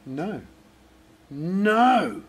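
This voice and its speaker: A man voicing a gruff, curt old male neighbour in a children's storybook. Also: high voice, sing-song, extremely slow, pitched above the performer's own voice, full character voice. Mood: irritable, angry. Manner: growling, curt, irritable. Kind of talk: storybook character voice.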